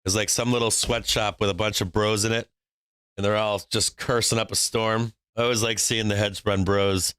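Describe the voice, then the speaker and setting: A man's voice: fairly low pitch, flat delivery, moderate pace, a bit bright, clear, resonant tone, no echo. A man from Boston hosting a live stock trading stream, brash and joking, laughing often between calls. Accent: faint Boston. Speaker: stock market livestream host.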